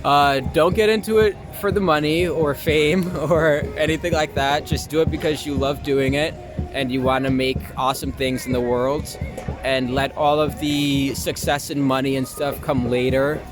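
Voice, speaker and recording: A man's voice: fairly high pitch, steady tone, normal speed, music in the background. A young American man giving casual, somewhat hesitant interview answers in informal language. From Long Island, United States. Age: young adult. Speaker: actor being interviewed.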